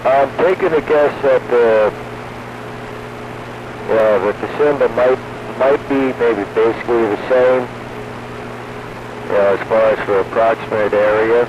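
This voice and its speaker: A man's voice: medium pitch, steady tone, a little slow, pauses often, dull audio. A man chatting casually about technical matters over two-way radio, explaining things insistently like a hobbyist telling stories. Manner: explanatory, insistent, tells anecdotes. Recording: noisy band-limited two-way radio audio.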